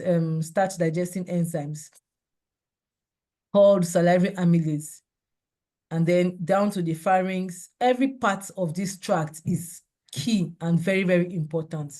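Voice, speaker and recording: A hoarse woman with a cold gives a measured, explanatory seminar over a video call. Her voice is low and mellow, and she pauses to clear her throat. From Nigeria. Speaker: seminar speaker. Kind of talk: online health talk with slides.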